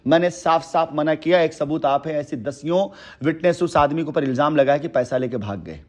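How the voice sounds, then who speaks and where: A man's voice: fairly high pitch, a little fast. A man talking on a phone call in long, persuasive stretches, earnest and emphatic, often repeating himself. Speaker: company head addressing a customer by phone. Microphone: telephone.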